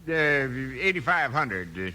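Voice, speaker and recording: An actor playing a shifty, irritable land agent, a villain in a 1950s radio western. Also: male voice, medium pitch, very animated, slow pace, bright, forceful tone, exaggerated voice. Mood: nervous, evasive. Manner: shifty, stammering. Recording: old radio recording, slight noise.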